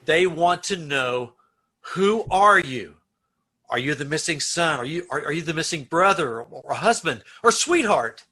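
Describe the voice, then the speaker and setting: A man's voice: a little high, lively intonation, a little slow, sharp tone, full character voice. A man acting an earnest prison warden in an old-time radio play, speaking emphatically and pleading with a condemned prisoner. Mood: urgent, pleading. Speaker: amateur radio-troupe actor.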